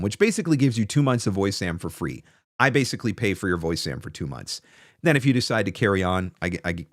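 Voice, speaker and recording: A man in his forties talks as a coach on a casual livestream. His voice sits low and moves with animated intonation as he repeats phrases for emphasis. It is recorded close and dry on a desk microphone in a small home studio. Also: no room sound. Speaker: host and voice-over coach. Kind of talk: casual livestream advice, answering viewer questions. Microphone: close desk microphone on a stand.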